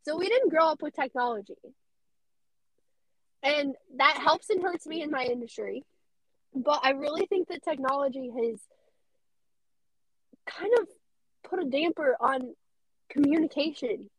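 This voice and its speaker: A young woman with a high, squeaky voice, chatting casually and bubbly as a podcast guest. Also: measured pace, pauses often, no echo, low-quality recording. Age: young adult. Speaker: radio host guest.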